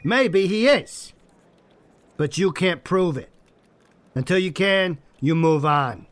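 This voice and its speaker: Man acting a stern, forceful police captain. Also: high-pitched, lively intonation, takes it slowly, no room sound. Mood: stern, exasperated. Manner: curt, forceful, no-nonsense. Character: stern, blunt, commanding male police captain. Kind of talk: audio drama acting.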